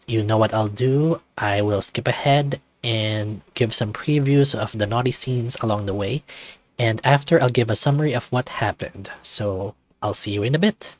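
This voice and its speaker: A man reading a visual novel aloud for his channel, with casual, hesitant asides. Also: medium pitch. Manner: casual, hesitant, frequent "uh" fillers. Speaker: YouTube visual novel reader.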